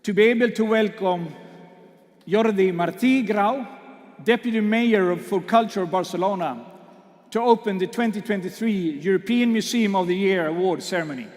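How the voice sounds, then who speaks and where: A man hosting an awards ceremony, joking with the audience in a halting way with many fillers, speaking English that is not his first language. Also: high voice, a little mellow. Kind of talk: speech to an audience. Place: large hall. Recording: long hall reverb.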